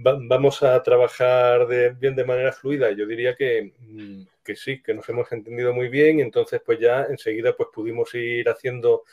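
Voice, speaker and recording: A man with an accent from Spain talking casually as an interviewed scriptwriter, thinking aloud with hesitant pauses. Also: medium pitch, little pitch movement, a little mellow. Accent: Spanish from Spain. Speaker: scriptwriter guest being interviewed. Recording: tinny, phone-like line.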